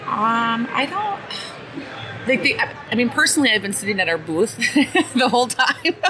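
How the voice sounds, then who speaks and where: A woman's voice: on the high side, very animated, a little slow. Casual woman on a noisy show floor. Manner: casual, hesitant, many fillers. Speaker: company representative. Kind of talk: conference floor interview. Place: busy trade show floor.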